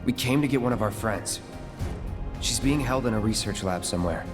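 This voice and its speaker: An actor playing a cool, guarded young mercenary in a video game cutscene, speaking in terse, understated phrases. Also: male voice, lowish voice, fairly quick, soft background music, lightly acted. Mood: businesslike. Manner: understated, clipped.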